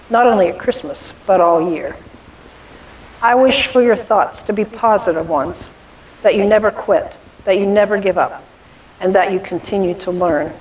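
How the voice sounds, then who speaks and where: Woman, poem recitation, measured earnest delivery, mid-range pitch, off-mic, faint hiss. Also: a little mellow, recorded from a distance, rough recording. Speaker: reader in a church holiday program.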